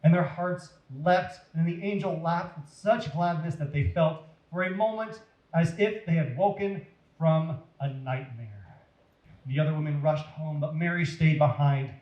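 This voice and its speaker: American male children's storyteller, fairly high voice, expressive and simple delivery, noticeably echoey room. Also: a little slow, dull audio.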